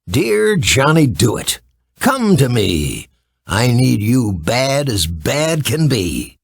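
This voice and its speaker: Guy doing a kindly shaggy drifter in a kids story, reassuring, deliberate, bit husky. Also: mid-range voice, wildly swooping pitch, no echo, studio quality, full character voice. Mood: incantatory. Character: kindly, confident shaggy wandering man with magic charm.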